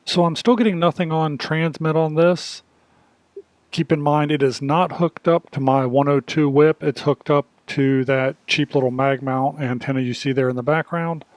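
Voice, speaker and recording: A man's voice: a little high, steady tone, moderate pace, darkish tone, no echo. Plainspoken man demonstrating gear. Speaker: video host demonstrating radio gear. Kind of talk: casual hands-on product demonstration narration.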